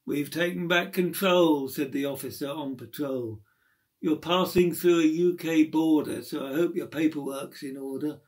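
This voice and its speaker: A man's voice: a little high, moderate pace, darkish tone. A middle-aged man reading a rhyming story aloud in a theatrical storytelling style. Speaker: poem reader. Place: small room at home.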